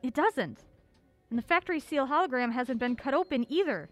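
A woman playing a feisty, quick-witted young amateur sleuth with a brisk, sardonic manner in an audio drama. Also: sits high, animated, no room sound, soft background music. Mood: intent.

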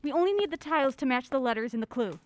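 Actress as a high-voiced, lively, eager niece. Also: female voice, very fast, dry recording. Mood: grateful. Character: bright, polite, affectionate young niece on a puzzle hunt. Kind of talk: audio drama acting.

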